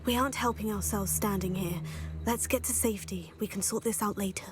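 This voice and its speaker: A woman's voice: medium pitch, brisk, close to an everyday voice. A woman playing a composed, wry teenage witch adventurer in a video game, with a dry, polite delivery. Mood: practical, questioning. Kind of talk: video game cutscene acting. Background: cinematic background music.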